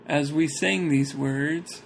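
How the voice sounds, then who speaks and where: A man's voice: fairly high pitch, fairly even intonation, takes it slowly, vocal fry, a little hiss or hum. A man reading a church talk aloud and chatting casually about it, breaking into jokes and laughter between passages.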